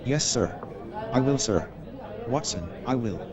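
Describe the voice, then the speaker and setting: Radio play casting: male actor as chatty, friendly young Victorian chap, medium pitch, crowd murmur behind. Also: expressive, unhurried, lightly acted. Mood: delighted. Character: Stamford, friendly young Victorian man, chatty old acquaintance. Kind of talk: comic staged radio play acting.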